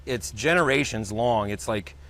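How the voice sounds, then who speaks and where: A man hosting a podcast, interviewing a guest in a relaxed, conversational way. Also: mid-range voice, normal speed. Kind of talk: casual interview.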